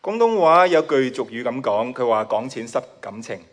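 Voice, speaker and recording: Hong Kong-accented male preacher, native Cantonese speaker, modest and giggly, pauses often for his interpreter. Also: medium pitch, very animated, a bit bright. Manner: modest, with shy laughs between phrases. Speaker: guest preacher. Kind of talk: sermon delivered in short chunks for an interpreter.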